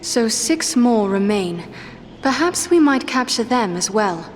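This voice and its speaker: A woman's voice: on the high side, lively intonation, a little slow, a little hiss or hum. A woman playing an earnest, dignified elf adventurer in a fantasy game, speaking in a formal, composed way. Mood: resolute, courteous. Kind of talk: fantasy game dialogue acting.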